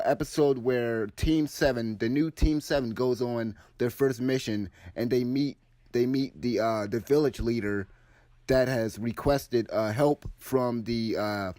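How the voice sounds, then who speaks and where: A man talking casually about an anime, a little hoarse from a cold and sore throat, rambling with frequent restarts. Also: mid-range voice, darkish tone, no room sound. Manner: rambling, many restarts and fillers. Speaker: anime commentary host. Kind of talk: casual video commentary.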